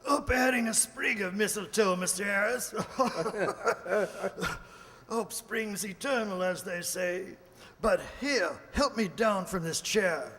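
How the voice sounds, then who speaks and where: Genial old English doctor, male actor, high voice, radio-play storyteller, chatty and courteous, reverberant hall. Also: slow, sharp tone, full character voice. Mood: genial, chuckling. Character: genial elderly English doctor reminiscing about old detective adventures. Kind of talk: amateur old-time radio play acting. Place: live stage in a hall. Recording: reverberant hall stage recording.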